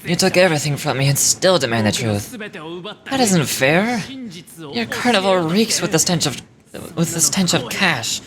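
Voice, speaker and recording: A woman's voice: low-pitched, lively intonation, moderate pace, crowd noise, pitched below the performer's own voice. A woman voicing a defiant, bitter young male gambler from a video game, sardonic and accusing. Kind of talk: reading game dialogue aloud in character.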